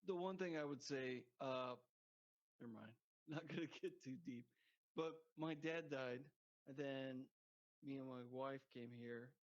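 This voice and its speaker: A man's voice: mid-range voice, a little slow. A man guesting on a podcast, joking crudely and rambling in casual chat. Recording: dull lo-fi sound.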